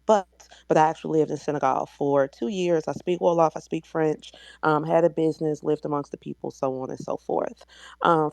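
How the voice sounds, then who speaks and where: A woman's voice: sits low, vocal fry, a little mellow, no room sound. A woman with an American accent speaking as a guest in an online discussion, explaining things in an assertive, teacherly way.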